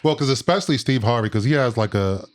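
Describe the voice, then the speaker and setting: A man's voice: mid-range voice, quick pace. An American man co-hosting a podcast in casual chat, with slangy, profane phrasing. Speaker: podcast co-host.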